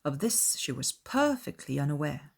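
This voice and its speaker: A woman's voice: low voice, big pitch swings, normal speed, gravelly. A woman reading scripted literary narration as an audiobook narrator.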